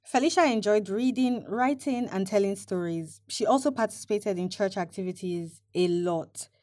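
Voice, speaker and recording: A woman hosting and narrating a documentary podcast in a measured, explanatory way. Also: mid-range voice. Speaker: host and narrator. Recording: soft music bed behind voice.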